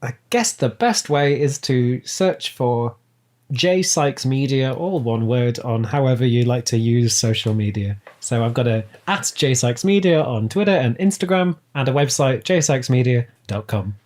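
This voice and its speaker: A man chatting casually as a podcast guest, animated and playfully dramatic in the way he talks. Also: medium pitch, a little slow. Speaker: podcast guest, writer and audio drama producer. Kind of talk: casual chat.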